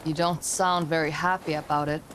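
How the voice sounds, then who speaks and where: A woman's voice: deep voice, steady tone, normal speed, background noise, lightly acted. An actress playing a practical, skeptical young woman warrior in a video game, terse and dry. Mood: puzzled, impatient. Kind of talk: video game cutscene acting. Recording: loud game score behind. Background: music.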